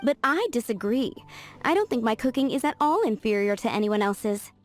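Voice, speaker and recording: An actress playing a proud, busy female cook in a game cutscene, brisk and blunt. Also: fairly high pitch, animated, over music, lightly acted. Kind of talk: video game cutscene dub.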